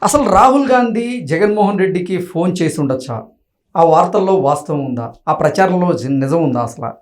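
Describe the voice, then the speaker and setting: A man's voice: sits high, animated, moderate pace. A man hosting a news interview, putting long, formal questions to a guest. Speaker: interviewer, host.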